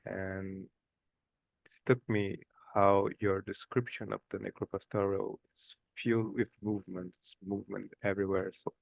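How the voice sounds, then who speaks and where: A man from Brazil with a Brazilian Portuguese accent, a seminar participant speaking halting English and searching for words. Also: on the low side, flat delivery, creaky, slight background noise, low-quality recording.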